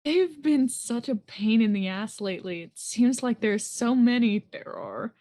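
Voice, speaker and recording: A woman reads as an eager, goofy teenage boy in a web comic. The voice sits fairly high, with a slangy, playful delivery that tips easily into exasperation. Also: expressive, measured pace, warm tone. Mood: exasperated.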